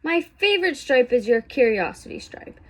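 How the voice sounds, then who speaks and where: Woman as a gentle, higher-voiced mother zebra. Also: big pitch swings, moderate pace. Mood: reassuring. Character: loving, reassuring mother zebra.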